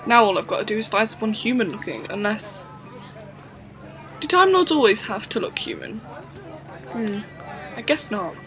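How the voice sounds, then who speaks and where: A woman's voice: mid-range voice, animated, moderate pace, pauses often, fairly bright tone, faint music behind. A woman in a fan audio drama playing a bewildered, questioning young companion of a time traveller. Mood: puzzled.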